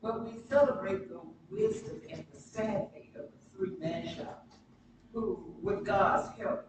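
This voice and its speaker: A woman preaching a sermon with emphatic, dramatic oratory and deliberate pauses. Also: medium pitch. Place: church sanctuary during a live service. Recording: very echoey, distant mic, noisy church livestream.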